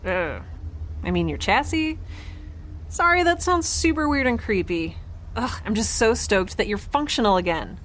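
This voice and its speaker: A woman playing an excitable, awkward, optimistic young space traveler in a science fiction audio drama, rambling in informal speech. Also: a little high, moderate pace, a little hiss or hum. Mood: excited, awkward.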